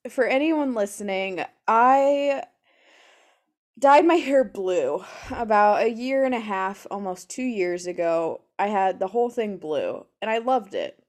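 A young woman co-hosting a casual podcast, talking loudly with frequent swearing and laughter into an improvised mic. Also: mid-range voice, lively intonation, a little slow. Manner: loud, swearing, laughing often. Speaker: podcast co-host. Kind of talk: casual chat. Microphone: improvised mic held between her legs.

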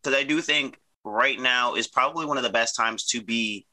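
A man's voice: mid-range voice, sharp tone. A man chatting casually as host, agreeable and rambling a little. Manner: agreeable, quick affirmations, rambling. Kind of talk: casual chat.

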